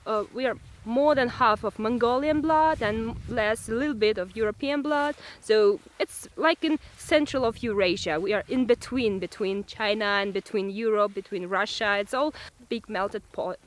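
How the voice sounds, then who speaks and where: A woman's voice: fairly high pitch, normal speed. A woman in her late twenties from East Kazakhstan with a Kazakh accent, a friendly tour guide who laughs easily. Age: late 20s.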